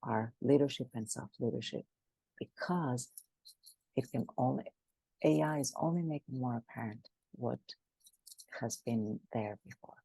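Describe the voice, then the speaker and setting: Woman, gravelly and husky, mellow dark tone, dry close sound, emphatic and animated. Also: rumbling low, measured pace, long pauses, no echo, poor audio. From Greece. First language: Greek. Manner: frequent restarts, animated and emphatic. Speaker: guest expert. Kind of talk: conversational interview.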